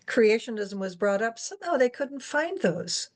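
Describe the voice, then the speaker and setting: A woman's voice: on the high side, expressive, moderate pace. A woman moderating a public discussion, speaking conversationally in an explanatory, opinionated way. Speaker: guest moderator, science educator.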